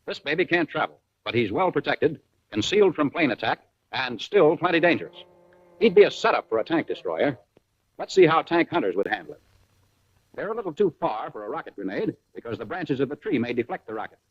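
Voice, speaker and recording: Casting: American man, slightly high-voiced and husky, punchy wartime instructional narrator for a vintage 1940s army film. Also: sing-song, a little fast, no room sound. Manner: punchy, colloquial, wartime slang. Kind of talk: 1940s military training film narration, scripted. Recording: muffled, raspy vintage 1940s film soundtrack.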